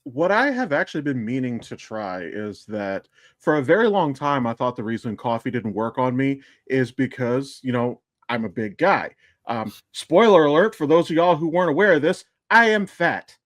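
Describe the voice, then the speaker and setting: A man's voice: medium pitch, expressive, moderate pace, no room sound, clean studio sound. An American man talking casually as a podcast co-host, blunt, self-deprecating and freely profane. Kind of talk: casual chat.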